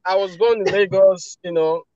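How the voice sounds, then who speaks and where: A Nigerian man in his thirties talking casually as a guest on a live video call, relaxed, with many "you know" fillers. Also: high-pitched, normal speed, muffled recording, crowd noise. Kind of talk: casual testimonial. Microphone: phone video call.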